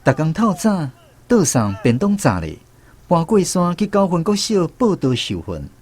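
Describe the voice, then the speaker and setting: A man's voice: fairly high pitch, huge pitch swings, normal speed, crowd noise, noisy background. A man narrating a radio drama in the first person, reminiscing like a storyteller with a Taiwanese accent.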